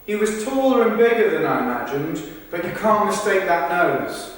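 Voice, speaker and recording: A man performing a rehearsed reading of verbatim texts in a formal, deliberate, projected delivery. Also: high-pitched, very animated, fairly bright tone. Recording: echoey hall, far from mic, faint noise.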